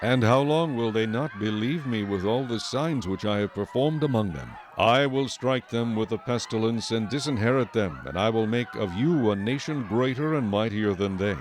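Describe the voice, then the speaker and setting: A man playing a stern, majestic God in a dramatized scripture recording, solemn, authoritative and deliberate. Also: on the low side, slight background noise, close to an everyday voice. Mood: wrathful. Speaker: narrator and voice of the Lord. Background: music.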